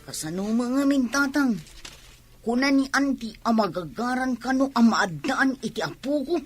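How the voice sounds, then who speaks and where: Husky, high-voiced young Ilocano woman. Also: lively intonation, normal speed, soft background music. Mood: startled, insistent. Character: young woman, evasive, exclaiming daughter. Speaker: radio drama actress. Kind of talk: Filipino radio drama acting.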